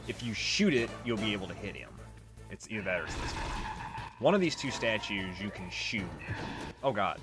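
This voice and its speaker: A man's voice: lowish voice, expressive, normal speed. A man giving casual, swear-prone gameplay commentary as the host of a let's play. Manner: profane, exasperated when struggling. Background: video game music.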